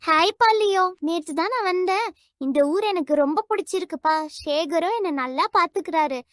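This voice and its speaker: A woman voicing a friendly, excitable young cow in a children's cartoon, sweet and eager. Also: very high-pitched, a little fast, no echo, clean studio sound.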